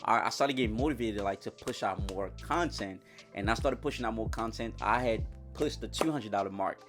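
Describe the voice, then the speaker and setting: A man's voice: on the low side, fairly quick, fairly bright tone, soft background music. A young man from Congo whose first language is French, talking casually and energetically straight to his viewers in a vlog, full of slang. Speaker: YouTuber. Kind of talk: casual motivational vlog talk.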